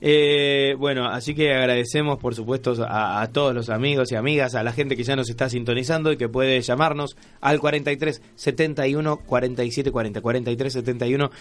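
A man's voice: mid-range voice, steady tone, fast, hardly any pauses. A man with an Argentine Rioplatense accent hosting an informal live radio magazine, teasing his colleagues and often repeating his phrases. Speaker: radio show host. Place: radio studio.

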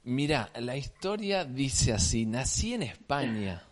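A man in his thirties from Salta, Argentina, with a Salta accent, chatting as an interview guest in a slow, drawn-out tonada with many repetitions. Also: medium pitch, big pitch swings. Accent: Salta Argentine Spanish. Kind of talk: casual interview chat.

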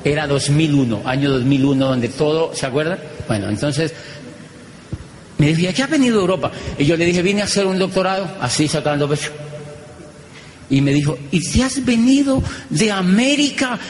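Animated Colombian man in an echoey hall. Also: fairly high pitch, fairly quick. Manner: animated, acts out dialogue, repeats phrases for effect. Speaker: motivational speaker. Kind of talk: storytelling talk to a live audience. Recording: echoey hall with faint noise.

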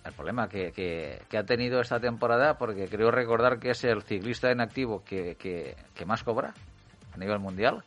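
A man's voice: fairly low pitch, normal speed, a bit bright, highs cut off, soft background music. A man hosting a cycling radio show, formal at the top and hesitant in later chat. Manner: hesitant, many restarts in chat. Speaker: radio host.

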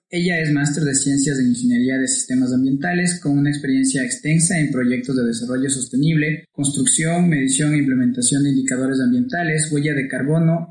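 A man's voice: medium pitch, a little mellow. A man, a podcast host introducing a guest and asking questions in a friendly, upbeat way. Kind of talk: interview questions. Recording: roomy echo.

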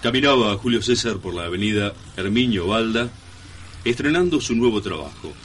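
A man giving a measured, mock-solemn scripted narration for a radio serial. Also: lowish voice, a little hiss or hum.

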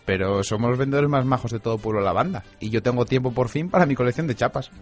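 A man's voice: medium pitch, quick pace, lightly acted. A man playing a goofy, easygoing former villain in a comic radio play, with a theatrical delivery. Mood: cheerful. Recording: radio play with music underneath.

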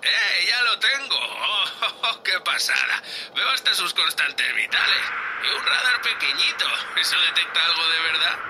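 Man voicing an excitable young spaceship crewman, bright and lively. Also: high-pitched, unhurried, slight background noise, full character voice, low-quality recording. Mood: excited. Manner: excitable, chatty, playfully impudent. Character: eager, cheeky young spaceship crewman, chatty and easily impressed. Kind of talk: audio fiction acting.

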